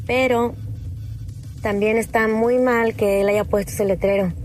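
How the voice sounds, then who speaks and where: A woman's voice: fairly high pitch, steady tone, unhurried, faint music behind. A woman calling in, arguing indignantly. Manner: indignant, argumentative. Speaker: caller.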